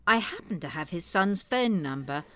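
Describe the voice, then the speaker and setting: Blunt, low-voiced grumpy old lady. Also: expressive, moderate pace, sharp tone. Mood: indignant, suspicious. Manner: blunt, sceptical, emphatic. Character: blunt, suspicious, grumpy elderly female care-home resident. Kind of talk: audio comedy drama acting.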